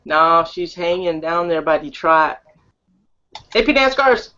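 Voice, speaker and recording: A woman in a casual online group chat, teasing, insistent and quick to laugh. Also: fairly low pitch, expressive, highs cut off, over a noisy crowd. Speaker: chat participant.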